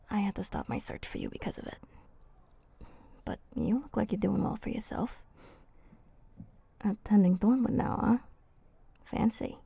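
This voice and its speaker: A woman voicing a flirty, teasing female vampire in an ASMR roleplay, soft and husky, speaking intimately and haltingly. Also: medium pitch, no room sound, exaggerated voice. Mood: tender, relieved.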